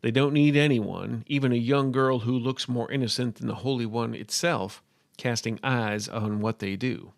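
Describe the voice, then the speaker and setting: A storybook gunslinger, acted by a male narrator, terse and guarded. He has a creaky edge and talks in flat, curt phrases with gruff protectiveness, recorded very dry. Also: mid-range voice, moderate pace, no room sound, lightly acted. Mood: exasperated. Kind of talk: storybook character voice.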